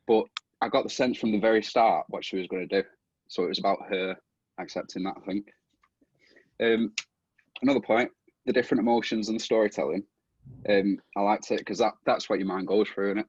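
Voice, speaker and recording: Man, husky, casual, halting, thinking aloud, muffled video call audio. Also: lowish voice, dry recording. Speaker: audience member giving feedback. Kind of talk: casual spoken feedback.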